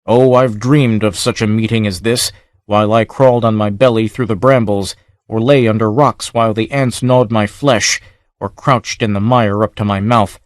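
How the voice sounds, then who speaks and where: A man voicing a wild, bloodthirsty warrior who snarls his words in slow, furious hatred. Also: lowish voice, fairly even intonation, dry recording, studio quality, close to an everyday voice. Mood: savage fury. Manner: snarling, furious, barbarous accent.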